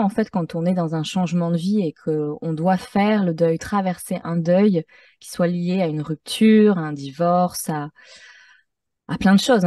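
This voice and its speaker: A French-Mexican woman answering as an interview guest, reflective and measured, pausing to find her phrasing. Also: lowish voice, warm tone, no echo, clean studio sound, distant mic. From Mexico-born, French-Mexican. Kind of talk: conversational interview.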